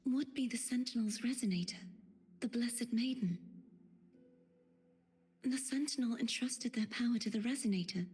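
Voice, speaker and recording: An actress playing an earnest, sorrowful young maiden knight in a video game, with hushed, solemn, formal speech. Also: female voice, on the high side. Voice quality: breathy, hushed. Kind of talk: video game character acting.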